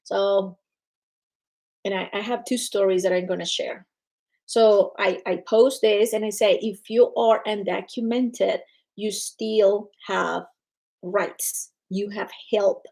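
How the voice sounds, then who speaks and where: A woman's voice: mid-range voice, slow pace, creaky. A woman from Colombia with a Colombian Spanish accent, talking casually and energetically to viewers on her own livestream. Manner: energetic, chatty, greets viewers by name. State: recovering from illness. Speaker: livestream host.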